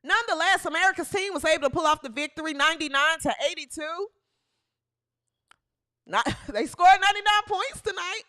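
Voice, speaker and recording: Woman in her 30s hosting a live sports chat, high voice, keeps yelling out bits. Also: fairly quick. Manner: animated and playful, with bursts of shouting. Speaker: podcast host.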